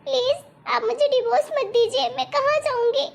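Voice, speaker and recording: Dramatic young cartoon wife played by a woman, extremely high squeaky pitch, whiny and insistent. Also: steady tone, moderate pace, creaky. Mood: pleading. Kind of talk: amateur Hindi cartoon story dialogue.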